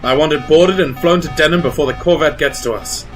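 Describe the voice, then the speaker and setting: A man's voice: on the high side, fairly quick, close to an everyday voice, low-quality recording. Formal, anxious Imperial lieutenant played by a man. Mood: urgent. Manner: formal, military, slightly nervous. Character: anxious, dutiful young male Imperial lieutenant. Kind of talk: sci-fi audio drama acting. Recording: music behind the voice.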